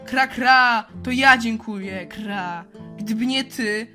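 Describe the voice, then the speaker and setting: A friendly, scary-looking crow from a children's audio play. The voice is high, piercingly bright and croaky, with slow phrases broken up by caws. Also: female voice, faint music behind. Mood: friendly, grateful. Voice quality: hoarse croaking. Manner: punctuates speech with caws. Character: croaking crow-like bird, scary-looking but good-hearted and grateful.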